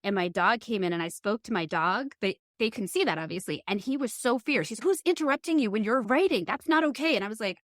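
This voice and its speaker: A woman's voice: a little high, expressive, fast, hardly any pauses, no room sound. Middle-aged woman, candid and reflective, dry close sound. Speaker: podcast host.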